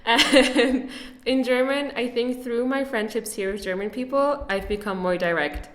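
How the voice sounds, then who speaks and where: A woman's voice: a little high, measured pace, fairly bright tone. A woman talking as a guest on a podcast, chatty and full of fillers and laughs. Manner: chatty, many fillers and laughs, puts on voices in quotes. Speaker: podcast guest, German teacher. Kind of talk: casual interview chat. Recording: echoey room.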